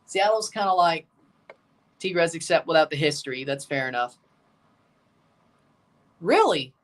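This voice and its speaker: A man streaming a live match watchalong, chatting casually and calling goals with excitement while guarding a strained voice. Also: a little high, unhurried, recorded from a distance.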